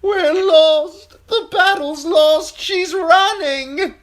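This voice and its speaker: A man voicing a hysterical old woman and frightened noblewomen who wail and shout. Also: very slow, full character voice. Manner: wailing, shrill demanding.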